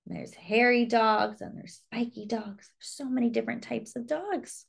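A woman reading a children's story aloud expressively, with deliberate phrasing and simple explanatory asides to young listeners. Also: fairly high pitch, creaky, slightly rough. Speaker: storybook reader and host.

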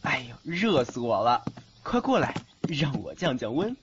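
A man's voice: medium pitch, big pitch swings, normal speed, natural voice. A young man playing a cheeky, lovestruck college student in an audio drama, boyish and earnest. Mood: playful. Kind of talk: Chinese audio drama acting. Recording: muffled lo-fi, faint noise.

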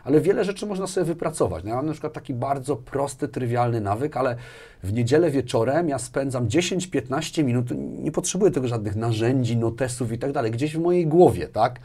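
A man's voice: medium pitch, expressive, fairly quick, dark tone. A man around his forties giving conversational interview answers in a rambling way, repeating words and tagging phrases with little questions. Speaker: interview guest, corporate manager. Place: small furnished studio, indoors. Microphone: lapel mic.

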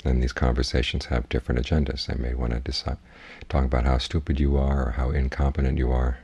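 A man with a husky voice giving a guided meditation talk as a Buddhist teacher, in measured, plainspoken instruction. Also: extremely low pitch, flat delivery, a little mellow.

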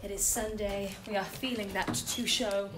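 A woman's voice: fairly even intonation, moderate pace, over music. A woman in her twenties chatting casually and informally to a camera held at arm's length as she goes about her day. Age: 20s. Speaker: vlogger and pantomime performer. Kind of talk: casual vlog chat to camera. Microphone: phone or camera at arm's length. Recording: handheld vlog, background ambience and traffic rumble.

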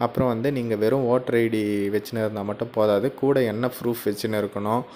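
A man's voice: mid-range voice, steady tone, fast talker, darkish tone, a little hiss or hum. A man hosting a casual screen-recorded tutorial, chatty and walking through each step while often checking in with the viewer. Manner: chatty, keeps checking in with "okay?". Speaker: tutorial channel host.

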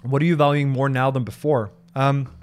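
A man in his thirties from Norway, answering viewer questions in a casual live stream, thoughtful and halting, with lots of fillers and restarts, speaking into a desk microphone in a home office. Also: medium pitch, fairly even intonation, darkish tone, a little hiss or hum. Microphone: large microphone on desk in front.

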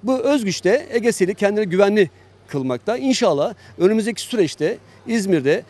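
Male executive interviewee, slightly high register, halting and measured promotional delivery. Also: expressive, darkish tone. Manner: halting, measured, pausing often between phrases. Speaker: company chairman being interviewed.

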